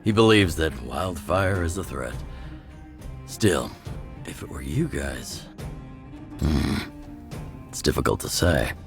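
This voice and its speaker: A man playing a gruff but kindly older underground leader in a video game, with a gravelly voice and an unhurried, avuncular manner. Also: a bass voice, very animated, heavily acted. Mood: grave, measured. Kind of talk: video game story dialogue. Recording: clear music under the voice.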